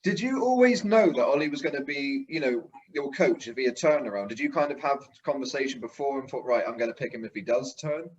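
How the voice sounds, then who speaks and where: A man's voice: mid-range voice, lively intonation, brisk, few pauses, muffled recording. A man hosting a casual interview, asking long, layered questions. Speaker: interviewer, show host.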